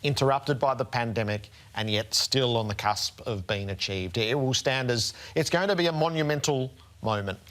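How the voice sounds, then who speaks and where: A man's voice: medium pitch, moderate pace, few pauses, fairly bright tone. An Australian man hosting a sports panel show, speaking in an emphatic, eloquent, rhetorical way.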